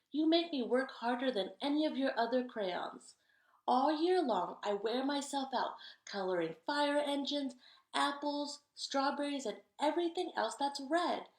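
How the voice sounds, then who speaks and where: A woman's voice: high voice, expressive, unhurried, reverberant, pitched above the performer's own voice. A woman voicing an overworked, fed-up crayon in a children's storybook, complaining emphatically. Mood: weary complaint.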